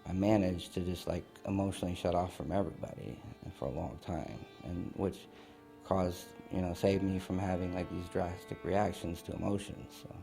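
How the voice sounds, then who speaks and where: A man's voice: low-pitched, normal speed, vocal fry, over music. A young man telling his own story in an interview, in a reflective, earnest way with loose fillers. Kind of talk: candid personal storytelling.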